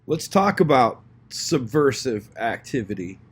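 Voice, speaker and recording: A man's voice: mid-range voice, big pitch swings, measured pace, slightly rough. A man in a rambling informal monologue, intense and repetitive, swearing often.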